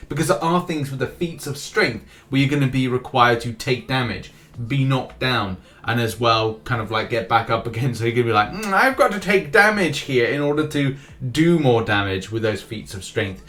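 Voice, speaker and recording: A man's voice: medium pitch, a bit bright. A man hosting a gaming news channel, giving casual, informal and enthusiastic commentary on game updates.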